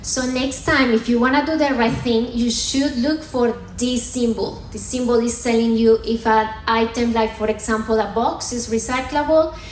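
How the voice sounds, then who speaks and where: A woman with a Colombian accent delivers a playful science talk from a theatre stage, teasing the crowd as she goes. Her voice sits a little high and rings out through a roomy hall, with faint ambience underneath. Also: slight background noise. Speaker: sustainability manager giving a comedy science talk. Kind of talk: stand-up style talk on stage. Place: theatre stage at a comedy festival.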